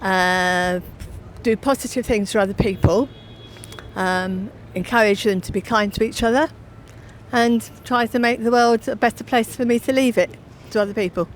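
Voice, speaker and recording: An older woman from London, speaking gently and hopefully outdoors. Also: a little high, steady tone, moderate pace, a little hiss or hum, poor audio. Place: outdoors in a city.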